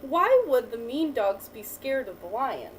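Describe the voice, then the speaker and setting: A woman teacher reading a picture book aloud to a child, pausing to ask questions and praise the answers. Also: fairly high pitch, sing-song. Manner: patient, encouraging, asks and praises. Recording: distant mic with faint background noise.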